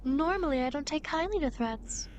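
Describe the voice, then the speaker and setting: High-pitched teasing demon girl, acted by a woman. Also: expressive, moderate pace, a little mellow, slight background noise. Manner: theatrical, teasing, mock-grand phrasing. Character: playful teasing demon girl, smug guide of the afterlife. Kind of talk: ASMR roleplay, acted monologue to the listener.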